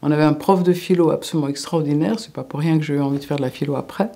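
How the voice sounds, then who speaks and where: A woman's voice: deep voice, rapid-fire, creaky, dark tone. An older woman from Alsace, a former teacher, giving reflective interview answers, hesitant and often restarting as she searches for words. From Alsace, France.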